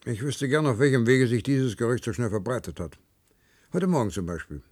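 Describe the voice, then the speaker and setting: An actor playing a guarded, calculating president in a German radio play, measured, formal and cagey. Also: male voice, mid-range voice, no echo, clean studio sound.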